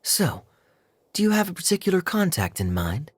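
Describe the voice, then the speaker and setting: An actor voicing a suave, wry adult knight in a video game. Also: male voice, high voice, wildly swooping pitch, moderate pace, slight background noise.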